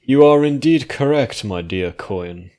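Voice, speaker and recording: A man's voice: mid-range voice, lively intonation, a little slow, darkish tone, voice pushed up, natural voice. A man voicing a pompous, scheming unicorn prince, haughty and declamatory, in a dramatic story reading. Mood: smug. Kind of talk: dramatic fan fiction reading.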